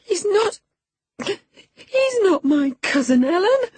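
A spoiled young girl from a storybook, acted by a woman, haughty and demanding. Her voice jumps high and swoops around freely, with an indignant, emotional edge. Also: huge pitch swings, unhurried, slightly rough, dry recording, exaggerated voice. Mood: tearful distress. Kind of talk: dramatised audiobook character.

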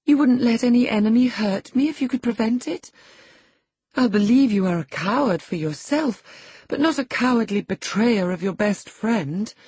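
Woman acting a high-pitched, spirited, proud young lady. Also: lively intonation, normal speed, darkish tone, husky, dry recording. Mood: alarmed, earnest. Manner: emphatic, indignant, demanding. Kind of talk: audiobook character acting.

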